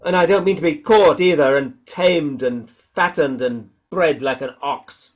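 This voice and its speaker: An actor playing a jumpy, defiant militiaman surviving alone, with a folksy, halting, agitated delivery in a radio play. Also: on the high side. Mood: defiant, scheming. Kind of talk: dramatic radio play dialogue.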